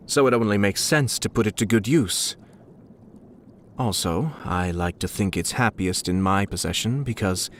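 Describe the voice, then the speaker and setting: An actor voicing a refined, composed young nobleman in a video game, courteous and quietly calculating, with formal, polished, wry phrasing. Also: on the low side, a little hiss or hum. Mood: calm, assured. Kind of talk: scripted game character voice lines. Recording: studio voice with music behind.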